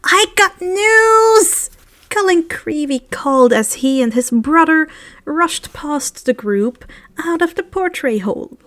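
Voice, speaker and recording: A woman narrating fiction aloud in an expressive, informal storybook style. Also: sits high, measured pace, no room sound. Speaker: fan fiction reader.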